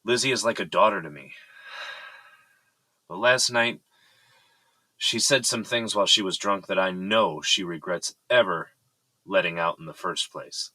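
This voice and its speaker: A man's voice: deep voice, unhurried, pauses often, bright, forceful tone, no echo, heavily acted. An actor playing a composed, serious, fatherly boss of an architecture firm in an audio drama. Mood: concerned, earnest.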